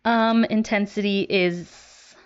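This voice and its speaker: A woman's voice: mid-range voice, steady tone, slow, dull audio, a little hiss or hum. A young adult woman talking casually to viewers as a book vlogger. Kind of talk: casual chat to camera.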